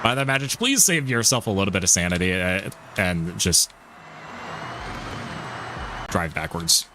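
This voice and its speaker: Rambling male streamer, lively pitch. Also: unhurried, noisy background. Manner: rambling, stumbles over words, laughs often. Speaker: live streamer. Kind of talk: casual gameplay commentary. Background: music.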